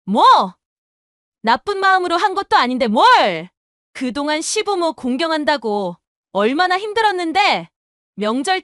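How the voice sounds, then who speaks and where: Actress as a shrill-pitched, scornful young daughter-in-law. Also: female voice, a bit bright, no echo, clean studio sound, exaggerated voice. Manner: curt, snippy, sarcastic. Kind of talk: audio drama acting.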